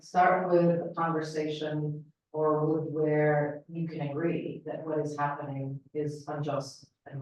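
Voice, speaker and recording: A woman with a Palestinian accent answering as a panel speaker, thoughtful and measured, with pauses and fillers. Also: low voice. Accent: Palestinian Arabic, Syrian-leaning. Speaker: panel speaker, political analyst. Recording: roomy hall, voice from far off.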